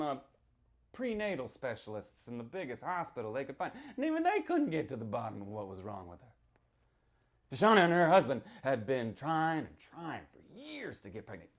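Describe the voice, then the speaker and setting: A man performing a sly small-town local who tells a witch legend, theatrical and confiding, moving between hushed and declaimed delivery. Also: a little high. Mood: grave, building. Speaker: author reading from own novel. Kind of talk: dramatic live reading of a horror story.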